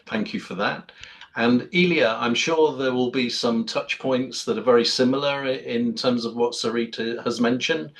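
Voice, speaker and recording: A man's voice: mid-range voice, steady tone, moderate pace, a bit bright. A man hosting a conversation on a video call, speaking plainly with some hesitant fillers. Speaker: host.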